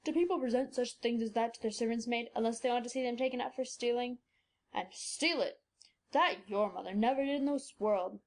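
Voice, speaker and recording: A woman voicing an excitable, zealous old male doctor who talks in eager, emphatic bursts. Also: on the high side, a little fast, pitched below the performer's own voice, natural voice. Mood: scornful. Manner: eager, emphatic, scornful outbursts.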